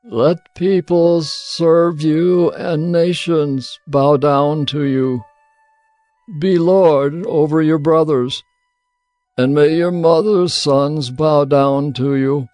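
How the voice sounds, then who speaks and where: An aged, frail patriarch in an audio Bible drama. He speaks very slowly and solemnly, in a somewhat high male voice. Music runs underneath. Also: steady tone, darkish tone, no echo. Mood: solemn blessing. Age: older. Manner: slow, deliberate, solemn delivery. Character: frail old father, solemn patriarch near death. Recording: music bed behind the voice.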